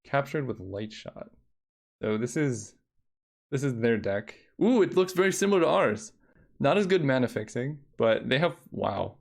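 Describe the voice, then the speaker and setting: A man's voice: medium pitch, sing-song, normal speed, vocal fry. Young man, streamer, relaxing voice, thinks aloud, mutters counts, casual game chat. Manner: thinks aloud, counts under his breath.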